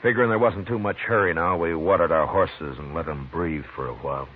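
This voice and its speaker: An actor playing a steady, grim American frontier marshal in a 1950s western radio drama, speaking in a terse, measured way. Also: male voice, low-pitched, husky. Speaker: lead character and narrator. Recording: muffled, noisy 1950s radio recording.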